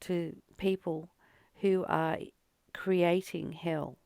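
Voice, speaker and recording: A woman speaks slowly, with a noticeable creak in her voice. She reads and reflects on spiritual ideas in a contemplative, informal way, leaving pauses and circling back over phrases. The recording is close and dry. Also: lowish voice, fairly even intonation, darkish tone, no room sound. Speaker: host of a spiritual reading and study series. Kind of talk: reflective spiritual reading with informal personal commentary.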